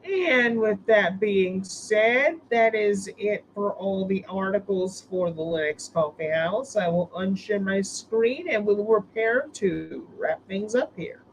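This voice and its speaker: Hoarse man hosting a tech stream. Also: sits high, slow pace, few pauses, slight background noise, rough recording. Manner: rambling, with restarts and fillers. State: hoarse from illness. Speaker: live stream host. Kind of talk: casual live stream chat.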